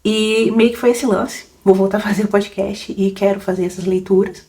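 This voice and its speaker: A woman's voice: medium pitch, steady tone, normal speed, warm tone. A young adult woman, a book podcaster rambling casually through questions, laughing often and full of fillers. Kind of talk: casual Q&A chat.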